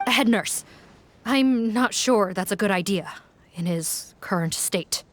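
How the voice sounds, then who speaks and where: A woman voicing a wary, subdued officer who raises a quiet concern. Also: mid-range voice, slow, lightly acted.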